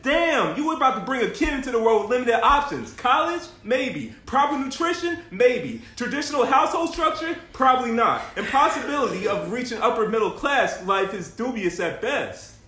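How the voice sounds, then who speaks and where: A man's voice: extremely high pitch, sing-song, normal speed, few pauses, pushed and bright, full character voice. A man playing a broke, cocky slacker boyfriend in an amateur comedy table read, slangy and full of boastful excuses. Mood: defensive. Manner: slangy, boastful, self-justifying. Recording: echoey room, faint noise.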